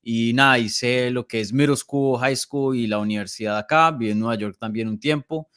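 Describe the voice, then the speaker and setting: Colombian man, early thirties, podcast guest. Clean, resonant voice, dry close sound, casual with a hesitant, pausing delivery. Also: mid-range voice, fairly bright tone, clear, resonant tone, no room sound. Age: early 30s. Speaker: podcast guest, MMA journalist. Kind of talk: casual interview conversation.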